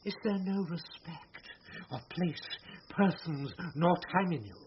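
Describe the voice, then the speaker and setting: Pompous scolding steward, dramatically inflected. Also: male voice, on the high side, lively intonation, slow. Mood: outraged. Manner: indignant, pompous, precisely enunciated. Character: pompous, scolding, puritanical steward of a noble household. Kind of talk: classic radio Shakespeare acting. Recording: muffled, slightly noisy radio drama.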